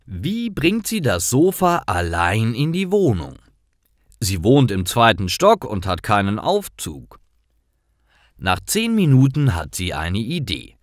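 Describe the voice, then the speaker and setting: A man reading simple scripted stories aloud in a clear, deliberate manner, as a storyteller for language learners. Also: fairly low pitch, wildly swooping pitch, no room sound, clean studio sound.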